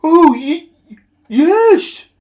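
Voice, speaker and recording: A woman's voice: extremely high pitch, sing-song, drawn-out words, mellow, voice pushed up, full character voice. A woman voicing a stiff but eager male steam engine in a children's storybook, with an effortful, puffing manner. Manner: groaning, effortful, repetitive puffing.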